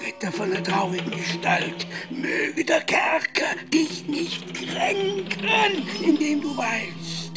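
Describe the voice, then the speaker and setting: Spooky fake prophet in a radio play, high wailing pompous voice, dragging every word, music loud underneath. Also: very animated, full character voice. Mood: solemn, ominous. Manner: solemn, theatrical, drawn-out wailing declamation. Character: disguised prophet intoning a pompous, ghostly prophecy to a captive knight. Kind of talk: radio drama acting.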